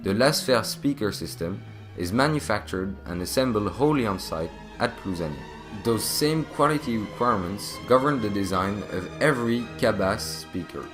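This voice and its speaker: A man's voice: on the low side, expressive, normal speed, over music. A man reading scripted narration as the narrator of a corporate video.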